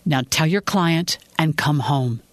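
A narrator voicing a worried, frustrated husband who talks bluntly. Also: male voice, a little high, slow, no echo. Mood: stern. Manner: anxious, then stern and blunt.

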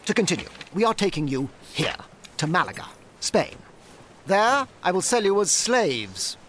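A man acting a cold, condescending slave-trading ship captain in a radio drama, talking with slow emphasis. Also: sits high, big pitch swings, a bit bright, slight background noise, full character voice. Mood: impatient, scornful.

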